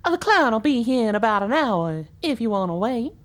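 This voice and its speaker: A woman playing a laid-back, wryly amused unicorn mare sheriff in an audio drama, speaking in an unhurried way. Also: fairly high pitch, sing-song. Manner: unhurried, wry.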